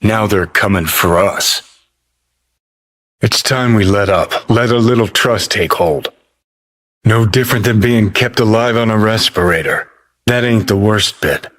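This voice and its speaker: An actor playing a cynical rebel rockerboy ghost in a video game, talking in a gruff, sardonic drawl with clipped phrases. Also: male voice, sits low, a bit bright. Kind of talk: video game character voice acting.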